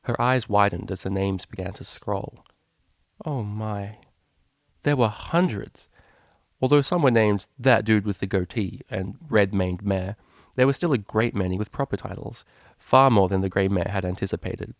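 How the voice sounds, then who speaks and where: A man with a fairly low voice narrates a scripted story. He reads as a storyteller, and the recording is dry with very little room sound. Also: moderate pace, no room sound. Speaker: story reader.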